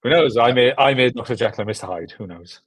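A man's voice: medium pitch, fast, breathy edge, dry recording. A young man from South Wales with a South Welsh accent, chatting casually and enthusiastically as an interview guest, often repeating words for emphasis. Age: 20s or 30s. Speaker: film director, interview guest. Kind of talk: casual chat. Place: small room at home, video call. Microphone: video call, earbuds.

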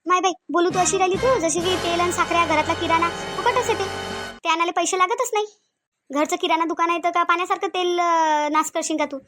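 A woman's voice: very high voice, steady tone, brisk, music in the background. A woman voicing a domineering, miserly rural mother-in-law in a cartoon comedy, nagging and sarcastic in a Varhadi Marathi accent. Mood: scolding. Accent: Varhadi Marathi from Vidarbha. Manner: nagging, sarcastic, full of rhetorical questions.

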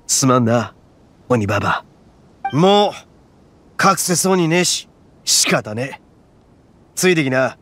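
An actor voicing a loud, brash young oni gang leader in a game, swaggering and rough-talking but big-hearted. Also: male voice, mid-range voice, very animated, slow, pauses often. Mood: serious. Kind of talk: game voice acting. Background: game music.

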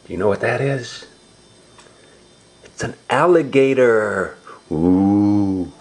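A man reading a picture book aloud to young children, explaining it in simple, repeated, drawn-out words. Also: on the low side, darkish tone. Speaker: storybook reader for young relatives.

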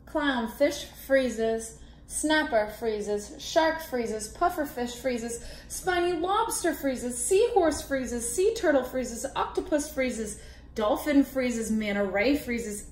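High, animated young woman reading a picture book. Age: 20s. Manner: deliberate, animated, asks children questions. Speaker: read-aloud storyteller for children. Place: small indoor room at a table. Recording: echoey room, background noise with clicks.

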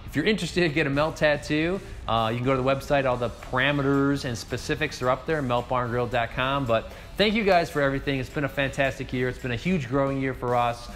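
A man's voice: mid-range voice, fast, few pauses, a bit bright, soft background music. A man in his forties from northeast Ohio, a restaurant owner giving an upbeat, casual year recap straight to camera from his empty bar, gesturing as he talks. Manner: upbeat, gestures with hands while talking. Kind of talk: casual direct-to-camera talk. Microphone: seated facing a mid-distance camera.

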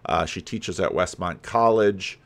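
An older man giving casual, rambling commentary as a host, halting and thinking aloud. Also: low voice. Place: home room lined with books. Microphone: desk microphone, close, with headphones.

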